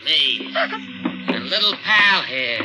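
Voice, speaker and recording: A surly American cabbie with a threatening edge, his curt, slangy lines swinging widely in pitch. It plays as vintage radio suspense, with music clearly behind him. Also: animated, slow pace, low-quality recording. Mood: sneering menace. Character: gruff, menacing tough-guy male cab driver. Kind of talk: 1950s radio drama acting. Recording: old radio broadcast with music.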